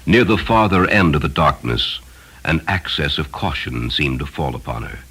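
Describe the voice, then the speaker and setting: A man narrating a story from a script in a solemn, expressive and dramatic style. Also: extremely low pitch, normal speed, slightly rough. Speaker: audiobook narrator.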